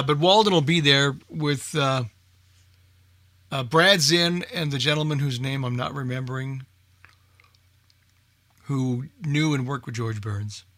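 A man's voice: mid-range voice, sharp tone. A man presenting a radio show in casual group chat, thinking aloud in a hesitant way.

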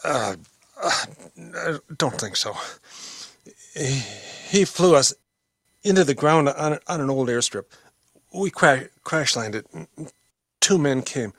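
Slow, halting wounded pilot. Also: male voice, mid-range voice, animated, fairly bright tone, no echo, studio quality, heavily acted. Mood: weak, straining. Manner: halting, stammering, gasping. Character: gravely wounded male pilot, anguished and weak. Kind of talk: old-time radio drama acting.

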